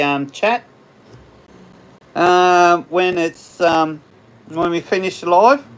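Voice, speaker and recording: An Australian man hosting a casual livestream, chatting with viewers in a rambling way, his voice slightly hoarse after hours of talking. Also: on the high side, fairly bright tone. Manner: rambling, chatty, laughs often. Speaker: livestream host. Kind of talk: casual live chat with viewers.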